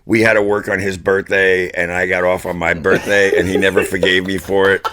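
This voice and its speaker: A man's voice: sits low, flat delivery, fairly quick, noisy background. An older man casually reminiscing and bantering as a podcast co-host in a recording studio. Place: wood-panelled recording studio. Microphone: studio microphone on stand.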